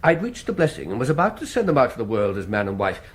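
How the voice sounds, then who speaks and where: Actor as wildly swooping, zealous priest. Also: male voice, mid-range voice, sing-song, quick pace, close to an everyday voice. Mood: aggrieved, earnest. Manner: pompous, theatrical. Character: zealous, self-important male priest obsessed with exorcising Satan. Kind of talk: radio drama dialogue.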